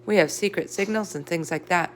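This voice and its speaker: A woman's voice: lowish voice, fairly quick, a little hiss or hum, close to an everyday voice. Earnest young schoolboy, read by a woman. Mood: sheepish. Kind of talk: children's storybook character voice.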